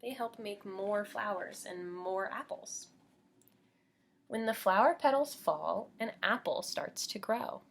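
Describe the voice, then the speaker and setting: A woman's voice: medium pitch, takes it slowly, gravelly. An American woman teaching young children as she reads a picture book aloud, explaining simply and putting questions to her listeners.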